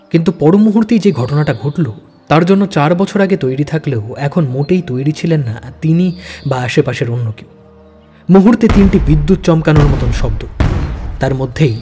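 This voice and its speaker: A man's voice: fairly high pitch, warm tone. A man narrating a spy thriller audio story in a dramatic, suspenseful manner.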